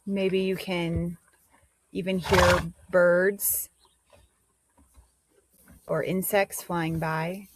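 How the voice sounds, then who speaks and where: A woman leading a guided meditation, giving gentle instructions with pauses between phrases. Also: on the low side, flat delivery, a little hiss or hum, poor audio.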